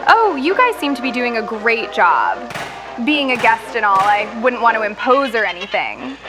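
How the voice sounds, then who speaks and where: A woman's voice: a little high, sing-song, moderate pace, music in the background. A woman voicing an unbothered, cheeky visiting radio host who stays airy while trouble breaks out around her, in a fan radio drama. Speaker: visiting co-host of a rival station.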